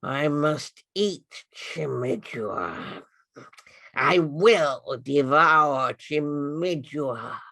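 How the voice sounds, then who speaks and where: A storyteller voicing a male monkey king who is secretly a jaguar, speaking in a slow, menacing drawl. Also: medium pitch, expressive, creaky, sharp tone, no room sound, exaggerated voice.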